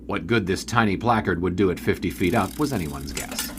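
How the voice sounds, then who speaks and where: A dry, wordy old-time sports writer; low voice with lively pitch. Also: male voice, brisk, background noise. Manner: dry, wry, formal. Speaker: narrator and sports writer Orville Mulligan. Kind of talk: audio drama narration and acting.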